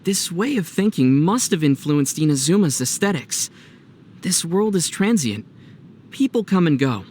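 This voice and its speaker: A man playing a youthful, thoughtful, gently teasing adventurer in video game voice acting, with a measured, wry delivery. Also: on the high side, sing-song, a little hiss or hum. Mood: reflective.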